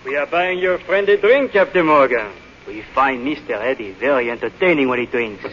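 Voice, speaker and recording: An actor playing a smooth, menacing secret police inspector, formal and deliberate, in a 1940s radio drama. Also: male voice, mid-range voice, lively intonation, close to an everyday voice. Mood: sly, polite. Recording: dull, noisy 1940s radio recording.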